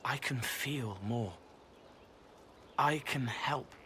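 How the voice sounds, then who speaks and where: An actor playing a gentle, haunted spirit in the form of a young man, speaking in halting, fragmented phrases for a video game. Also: mid-range voice, a little hiss or hum. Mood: earnest. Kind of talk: video game character acting.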